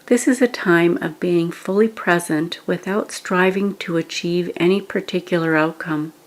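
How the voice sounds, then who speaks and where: A woman leading a guided meditation, giving scripted instructions in a gentle, measured manner with pauses. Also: fairly low pitch, steady tone.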